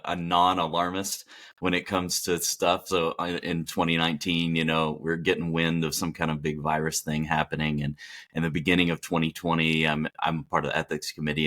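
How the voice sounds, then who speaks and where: A man from the Dallas area of Texas, a hospital chaplain, talking as a podcast guest in a relaxed, reflective way with many fillers and restarts. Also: deep voice. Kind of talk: casual interview talk.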